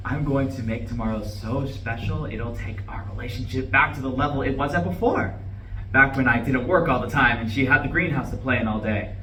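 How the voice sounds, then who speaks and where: A man acts out an earnest office-worker husband in a stage play, eagerly explaining himself and faltering as he goes. His voice is bright and forward. It is picked up from a distance in an echoey room with faint noise. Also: medium pitch. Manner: hesitant, eager, over-explaining. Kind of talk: live stage play reading.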